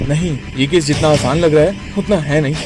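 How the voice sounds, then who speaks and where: Man playing serious higher-voiced lead detective. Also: fairly quick, close to an everyday voice. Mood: firm. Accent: Indian. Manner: firm, businesslike, mixing in English. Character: serious, authoritative male lead detective of investigation team. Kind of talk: radio drama acting. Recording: music under the voice.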